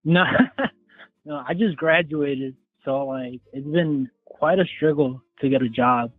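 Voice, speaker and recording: A man's voice: mid-range voice, takes it slowly. Young man on a tinny phone line. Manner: rambling, hedging with "like". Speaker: caller. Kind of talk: casual phone call.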